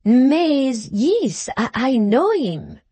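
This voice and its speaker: Woman putting on a polite confused french guy, high and lively, dry audio. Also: slow pace, no echo. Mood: puzzled. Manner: patient, courteous and confused. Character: polite puzzled foreign gentleman with French phrases.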